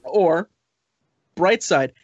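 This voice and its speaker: A man's voice: sits high, lively intonation, very slow, muffled recording. A man on a podcast panel, reacting in casual, joking, profanity-laced banter.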